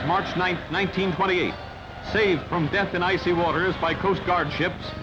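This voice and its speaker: A man reading scripted narration for an old newsreel in a clipped, dramatic, telegraphic delivery. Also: high-pitched. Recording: dull old newsreel soundtrack with music. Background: music.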